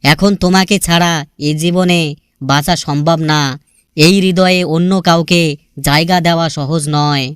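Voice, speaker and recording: A man's voice: on the high side, steady tone, moderate pace, a bit bright, smooth, no echo, clean studio sound. A man reciting sentimental scripted lines about love in an earnest, melancholy, poetic manner.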